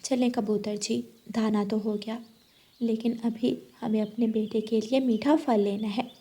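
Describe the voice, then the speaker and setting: A woman voicing a gentle, devoted mother pigeon, speaking softly and earnestly in a Hindi cartoon story. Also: fairly high pitch, steady tone, a little slow, breathy edge. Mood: tender, determined. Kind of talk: Hindi animated moral story.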